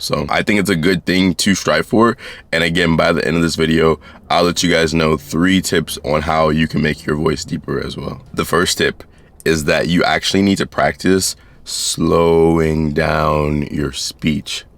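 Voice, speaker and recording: A man's voice: very deep voice, gravelly, a bit bright. A young man giving casual advice straight to camera in a laid-back, chatty way, talking to his viewers as guys. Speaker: YouTube creator.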